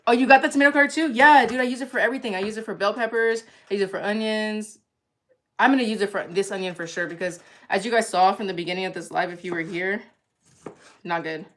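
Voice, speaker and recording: A woman's voice: mid-range voice, fast, fairly bright tone. A young woman hosting a livestream, chatting casually and giggling while she cooks and answers viewer comments. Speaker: livestream cooking host.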